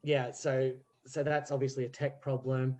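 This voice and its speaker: A man in casual podcast chat about theatre, telling stories in an animated way and laughing often. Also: mid-range voice, moderate pace. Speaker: theatre group member.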